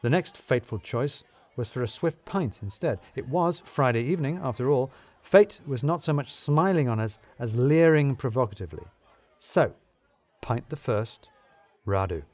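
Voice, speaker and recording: A man's voice: medium pitch, expressive, moderate pace, a little mellow, no room sound. A man narrating a scripted comic story in the first person, with a wry, wordy, theatrical manner.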